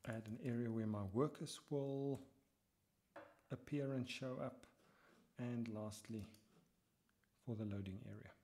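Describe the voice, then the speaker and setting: A man talking through a software tutorial step by step as he works, muttering some lines to himself, with keyboard typing behind him. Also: mid-range voice, steady tone, measured pace, pauses often, a little hiss or hum. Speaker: lecturer.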